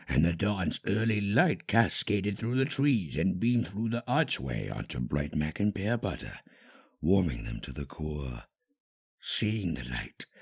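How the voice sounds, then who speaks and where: A man's voice: low voice, gravelly, breathy edge. A man reading a fan-fiction story as a dramatic scripted narrator.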